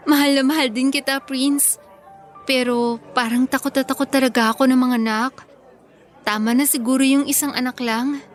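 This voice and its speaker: Woman acting high-voiced, sweet Filipino young bride. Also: steady tone, moderate pace, natural voice. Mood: grateful, then anxious. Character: happy, anxious young pregnant bride, sweetly affectionate. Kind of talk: radio drama acting. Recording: music bed behind the voice.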